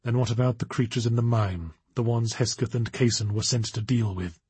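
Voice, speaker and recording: Cool bored lady detective boss, done by a guy, measured, unintimidated, audiobook style, really dry close recording. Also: mid-range voice, a little mellow, slightly rough, dry recording. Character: cool, unflappable female occult detective boss.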